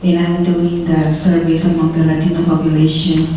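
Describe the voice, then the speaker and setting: A soft-spoken woman with a mellow, flat voice introduces herself on a panel. She sounds far off, with a long reverb in the hall. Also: deep voice, moderate pace, slight background noise. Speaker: business liaison on a panel. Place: conference hall.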